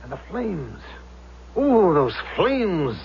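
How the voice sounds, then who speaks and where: Radio drama madman: feverish fire-obsessed chief, higher-pitched, wildly animated, old muffled broadcast. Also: very slow, creaky, exaggerated voice. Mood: rapturous. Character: deranged fire chief obsessed with setting fires. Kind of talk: 1970s radio drama acting. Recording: noisy, muffled vintage radio recording.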